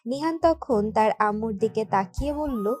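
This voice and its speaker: A woman's voice: on the high side, lively intonation, moderate pace, darkish tone, slightly rough. A woman reading scripted narration for a romantic story. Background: background music.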